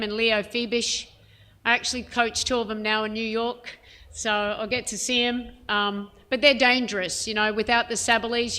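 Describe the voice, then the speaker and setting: A woman head coach answering reporters' questions at a press conference, frank and measured, with plenty of "um" and "you know". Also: fairly high pitch, pushed and bright. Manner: frank, measured, many fillers.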